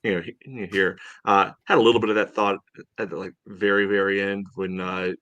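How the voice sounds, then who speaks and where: A man co-hosting a podcast, casually analysing soccer in a halting way, often saying "you know". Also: low voice, flat delivery, pushed and bright, no room sound, highs cut off. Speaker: podcast co-host.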